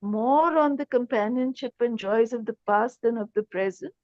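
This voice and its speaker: A woman's voice: on the high side, normal speed, a little mellow, no room sound, dull audio. A woman with an Indian English accent, a panelist in a casual advice discussion, reflective, dropping in Hindi phrases.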